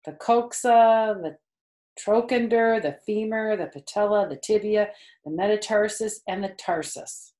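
A woman's voice: medium pitch, unhurried, warm tone, echoey room, pitched above the performer's own voice, natural voice. A woman voicing a clever, patient female spider in a storybook, instructing precisely and matter-of-factly. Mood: precise, proud.

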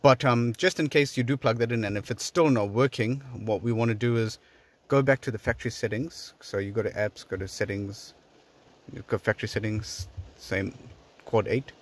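A man's voice: medium pitch, moderate pace. A man hosting a how-to video, explaining things step by step in a casual, conversational way inside a parked car. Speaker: video host giving a tutorial.

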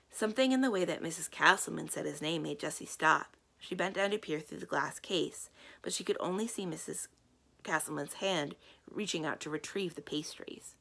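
Low-pitched American woman reading a story. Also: gravelly, a bit bright. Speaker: library read-aloud reader. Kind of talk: children's book narration.

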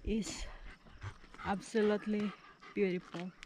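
Slow-talking Filipino woman hiking outdoors. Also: medium pitch, flat delivery, darkish tone, slight background noise. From Philippines. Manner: admiring, repeats short exclamations. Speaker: vlogger. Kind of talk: casual hiking vlog chat. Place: outdoors on a canyon trail.

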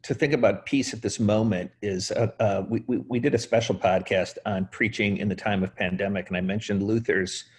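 A middle-aged man with a husky, lowish voice talks thoughtfully through a headset mic. He is on a video call from home and draws carefully on what he has read. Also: steady tone, brisk, hardly any pauses. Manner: thoughtful, quotes sources at length. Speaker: podcast co-host discussing preaching. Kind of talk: casual podcast discussion.

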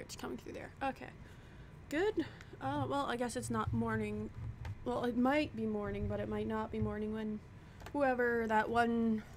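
A woman's voice: fairly high pitch, slight background noise. A woman chatting casually to her viewers while playing a building game, talking to herself as she sorts her items. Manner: chatty, self-deprecating, talks to herself while sorting items. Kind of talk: casual gameplay chatter to the camera. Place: home desk. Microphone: desk microphone at a computer.

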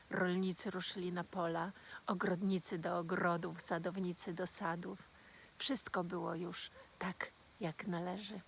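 A woman gently telling a children's fairy tale as a storyteller. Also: fairly low pitch, vocal fry, a little hiss or hum.

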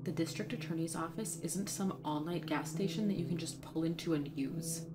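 A woman's voice: deep voice, moderate pace, over loud music, natural voice. A woman playing an assertive but slightly awkward prosecutor in a comic book fan dub, frank and conversational. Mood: irritated.

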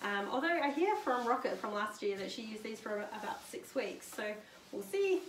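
A woman's voice: fairly high pitch, very animated, moderate pace. Chatty woman, roomy distant mic. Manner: chatty, upbeat, many "um"s, holds up items while talking. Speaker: hiker presenting her gear list. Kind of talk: casual gear walkthrough. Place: living room at home, indoors. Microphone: camera standing a few metres away. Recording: roomy, distant camera mic, faint hiss.

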